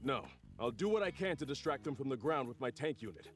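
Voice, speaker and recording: A man's voice: medium pitch, expressive, quick pace, soft background music, natural voice. A man voicing a serious, practical tank commander in a video game, stern and matter-of-fact. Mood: firm, matter-of-fact. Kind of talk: video game cutscene voice acting.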